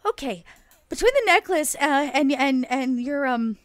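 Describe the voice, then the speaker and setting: Woman, fairly high voice, dry close sound, hesitant casual roleplay. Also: animated, a little slow, no room sound. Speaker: tabletop game player.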